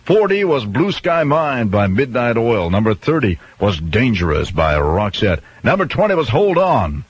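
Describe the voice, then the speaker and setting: A man's voice: medium pitch, big pitch swings, normal speed, breathy edge. A man working as a radio DJ, presenting songs and announcements on an AM station. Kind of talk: AM radio music countdown and announcements. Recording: 1990s AM radio aircheck, music bed.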